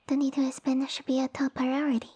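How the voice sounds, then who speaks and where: A woman voicing a small, sassy female lamia who rules her village, speaking softly and breathily with formal, imperious phrasing in an ASMR roleplay. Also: sits high, completely flat delivery, fast talker, no echo. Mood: stately, concerned. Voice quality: soft, breathy, whispery. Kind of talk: ASMR roleplay audio addressed to the listener.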